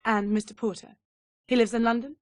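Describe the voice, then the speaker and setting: Game villainess , female actor: composed syndicate leader, fairly high voice, commanding, dry studio sound. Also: steady tone, a little fast, dry recording, close to an everyday voice. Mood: cool authority. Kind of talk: video game cutscene acting.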